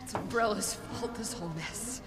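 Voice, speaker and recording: A woman's voice: medium pitch, moderate pace, loud music behind the voice, natural voice. An actress voicing a desperate, guilt-ridden woman scientist in a video game, speaking with pleading urgency. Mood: urgent. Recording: echoey reverberant space. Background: loud game score.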